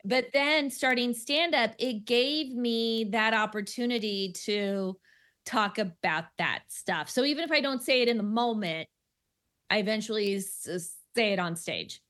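A woman's voice: a little high, a little slow, fairly bright tone. A woman stand-up comic who stutters, talking casually in a radio interview with many restarts and easy laughter. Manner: frequent fillers and restarts, laughs easily. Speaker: stand-up comedian.

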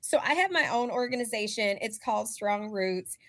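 A woman's voice: a little high, animated, moderate pace, a bit bright, no room sound. A young woman with Southern roots, speaking earnestly as a community organizer on a panel call, with frequent fillers. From Southern United States.

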